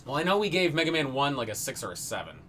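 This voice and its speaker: A man's voice: mid-range voice, sing-song, quick pace, a bit bright, slight background noise. A man giving casual, profane gaming banter as a show host, boisterous and teasing, with video game music behind him.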